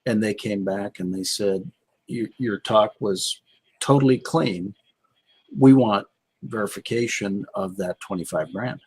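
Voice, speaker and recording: A man co-hosting a casual business chat with a husky, rough-edged voice, piecing his sentences together haltingly. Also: lowish voice, darkish tone. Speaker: co-host and business speaker.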